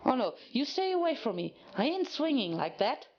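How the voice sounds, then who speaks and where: A woman's voice: sits high, very animated, moderate pace, dull audio, voice pushed up. A woman voicing an indignant, easily flustered farm pony mare with a folksy way of talking in a dramatic story reading.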